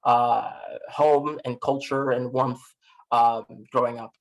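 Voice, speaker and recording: A man's voice: medium pitch, steady tone, slow pace. A man from Miami with an American accent, speaking as a podcast guest in a casual, reflective, self-deprecating interview style. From Miami, United States.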